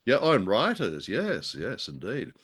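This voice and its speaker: A low-pitched man talks as co-host of a radio drama show. His delivery is casual and bookish, with a halting, wry turn to his remarks. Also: wildly swooping pitch, fairly bright tone, husky.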